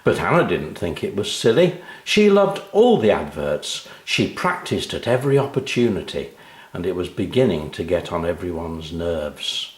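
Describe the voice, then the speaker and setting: Guy reading a kids story, really lively up and down voice, mid pitch, echoey room. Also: normal speed. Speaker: author reading his own story. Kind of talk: animated children's story narration.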